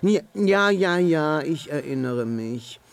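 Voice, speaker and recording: A very old Sandman from a children's radio play. He blusters, mutters and exclaims in a creaky voice that leaps up and down. He is grumpy on the surface and good-hearted underneath, and takes his time. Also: on the high side, expressive, slow, exaggerated voice. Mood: mollified, musing. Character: ancient grumpy but good-hearted male Sandman of the star meadow.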